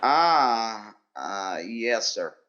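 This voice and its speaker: An actor playing a timid, polite, awkward teacher, hesitant and deferential, in a vintage radio sitcom style. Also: male voice, fairly low pitch, big pitch swings, very slow, sharp tone, lo-fi sound, exaggerated voice. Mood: nervous, deferential.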